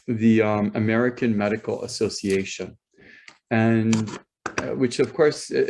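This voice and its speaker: Halting rabbi with a slow, unhurried delivery. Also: male voice, medium pitch, a little mellow. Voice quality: halting, many ums and repeated words. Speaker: rabbi hosting a talk. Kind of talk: hesitant spoken introduction. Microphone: video call.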